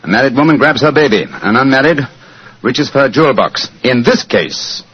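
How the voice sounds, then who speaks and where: Courteous, assured detective, husky voice, precise delivery, vintage radio drama. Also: male voice, medium pitch, expressive, moderate pace. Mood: assured, explaining. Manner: crisp, precise, gentlemanly. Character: brisk, assured, courteous gentleman detective, a man. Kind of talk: 1940s radio acting. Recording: dull vintage radio recording.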